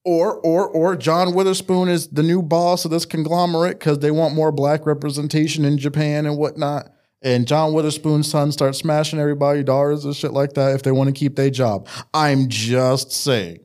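A man's voice: fairly high pitch, normal speed. A man trading crude, joking banter as a podcast co-host, from the United States. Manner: animated, joking, jumps into mock voices.

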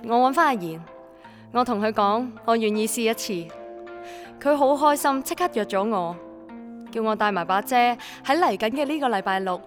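An actress playing a spirited young woman in a radio drama, with a colloquial, expressive Hong Kong Cantonese delivery. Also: fairly high pitch, natural voice. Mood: reflective narration. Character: spirited young woman, hesitant but willing rain girl.

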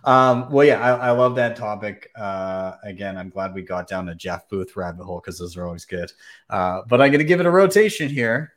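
A man's voice: lowish voice, fairly quick, a bit bright. A man hosting a casual podcast chat, rambling with many fillers and restarts. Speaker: podcast host.

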